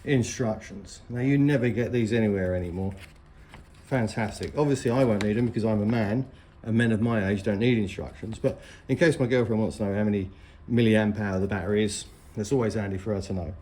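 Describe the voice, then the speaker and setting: A middle-aged man with a soft, dark-toned voice talks casually through a gear review. He is chatty and plain-spoken, and some background noise sits behind him. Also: fairly low pitch, a little fast. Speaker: vlogger reviewing motorcycle gear. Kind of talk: casual unboxing and review talk.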